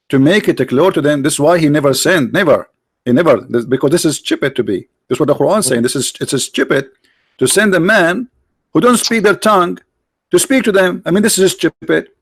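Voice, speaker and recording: A man hosting a debate, arguing forcefully and repeating his points in broken English. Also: a little high, brisk, slightly rough, no room sound. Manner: forceful, repetitive, nonnative English grammar. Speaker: debate host. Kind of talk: argumentative debate on a live call.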